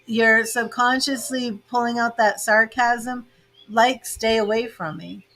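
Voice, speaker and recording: An American woman in her fifties hosting a live tarot reading, chatty and casual, laughing often and filling pauses with "um". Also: a little high, fairly even intonation, sharp tone, poor audio. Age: 50s. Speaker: tarot reader hosting a livestream. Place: home with grandchildren and birds in earshot.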